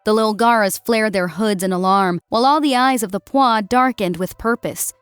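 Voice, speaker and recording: A woman's voice: mid-range voice, normal speed, clean tone, dry recording, faint music behind. A woman narrator reading a scripted, dramatic story as an audiobook.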